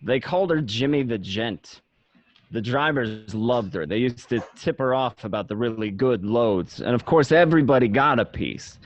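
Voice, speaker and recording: A dull, close and dry lo-fi recording of a man acting as a gangster telling his own story in a radio drama. His pitch is middling and he recalls his life of crime in the first person. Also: animated, normal speed, dry recording, close to an everyday voice. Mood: matter-of-fact. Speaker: amateur actor.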